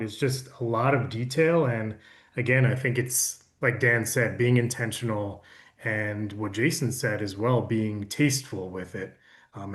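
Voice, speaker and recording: A man speaking as a producer in a casual panel conversation, explaining things in a measured way. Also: lowish voice. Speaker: audiobook producer and interview guest.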